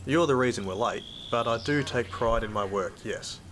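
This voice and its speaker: A man's voice: mid-range voice, moderate pace, fairly bright tone, slight background noise, lightly acted. An Australian man playing a proud, blunt field hunter who narrates an audio drama in a weary, colloquial way. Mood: blunt, stubborn.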